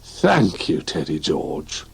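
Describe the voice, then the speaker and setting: A man's voice: very deep voice, wildly swooping pitch, slow, creaky, breathy edge, pitched below the performer's own voice, heavily acted. A man voicing a cold, wet male teddy bear in a storybook reading, plaintive and whiny.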